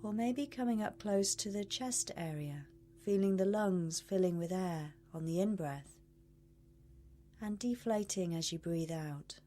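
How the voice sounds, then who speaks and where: A woman leading a guided meditation as a coach, speaking in a measured, soothing way with long pauses. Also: mid-range voice, dark tone.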